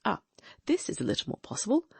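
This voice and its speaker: A woman's voice: fast, darkish tone, dry recording, lightly acted. A woman voicing a brilliant, confident Victorian detective in an audiobook, reasoning aloud with precise diction. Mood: musing. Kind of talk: audiobook dramatic reading.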